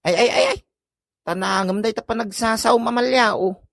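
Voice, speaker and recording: An actress as a scolding village woman with a fairly high, gravelly voice. She exclaims and mocks in exasperation in an Ilocano comedy drama, with an Ilocano accent. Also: brisk, a bit bright, no room sound, clean studio sound. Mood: disbelieving, scolding.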